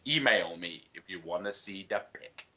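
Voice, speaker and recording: A man's voice: low voice, animated, moderate pace, sharp tone. A man doing a theatrical comic reading aloud among friends, stumbling over odd misspellings as he goes. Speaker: player in a dramatic reading game.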